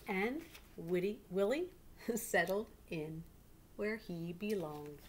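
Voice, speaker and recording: A middle-aged woman reads aloud expressively like a teacher, pausing to prompt young listeners. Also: medium pitch, lively intonation. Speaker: teacher reading a picture book aloud to pupils. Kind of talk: read-aloud storytelling with questions to children. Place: small living room indoors. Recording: clearly noisy home webcam recording.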